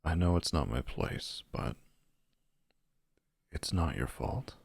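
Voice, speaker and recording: A man playing a gentle, caring friend in an intimate audio drama, speaking in a measured, tender, counseling way. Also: big pitch swings, no room sound, studio quality, exaggerated voice. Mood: gently reproving. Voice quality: deep, gravelly, husky. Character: gentle, caring male friend and writerly confidant.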